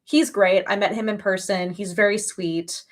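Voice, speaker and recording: A woman's voice: medium pitch, steady tone, normal speed. A woman in her early thirties chatting casually as a livestream host, with an emphatic, gushing way of talking. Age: early 30s.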